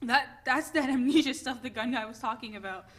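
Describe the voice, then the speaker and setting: A woman's voice: on the high side, brisk, fairly bright tone. A woman in a dramatic play reading, playing a panicky, foul-mouthed character who exclaims and pleads. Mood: alarmed.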